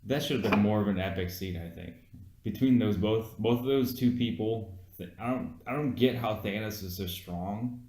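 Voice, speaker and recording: A man's voice: on the low side, moderate pace, a little mellow. A man who has had some beers, casually co-hosting a film review with slangy, sweary talk. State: has been drinking beer. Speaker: podcast co-host reviewing a film. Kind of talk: casual chat. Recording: echoey, roomy sound.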